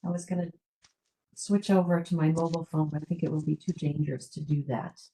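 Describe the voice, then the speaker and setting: A woman joining an online meeting, apologetic and hurried because she has to leave soon. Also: low voice, steady tone, warm tone, rough recording. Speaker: meeting attendee.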